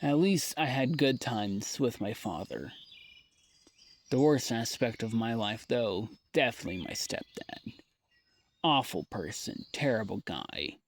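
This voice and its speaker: Weary young man, slow halting diary talk. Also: medium pitch, animated, slight background noise. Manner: weary, halting, trailing off with sighs. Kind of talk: personal audio diary monologue.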